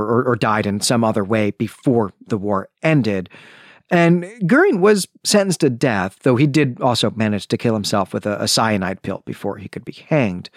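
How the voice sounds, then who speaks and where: A man hosting a podcast, giving book commentary in an emphatic way, repeating phrases deliberately for stress. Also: mid-range voice, dry recording, studio quality. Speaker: podcast host.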